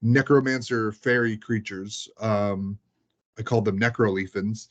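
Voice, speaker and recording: A man's voice: on the low side, fairly even intonation, unhurried. A man chatting casually as he recounts tabletop game sessions, rambling and peppering his talk with "like". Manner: rambling, frequent "like" as filler. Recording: dull, lo-fi sound.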